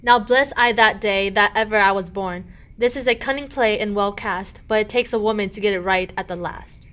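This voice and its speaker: A woman's voice: medium pitch, normal speed, a bit bright, lightly acted. Lady doing a bossy scheming peasant wife, scolding in rhyme, old comic radio play. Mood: gleeful. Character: sharp-tongued, cunning, put-upon peasant wife. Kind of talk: verse radio play acting of a medieval comedy.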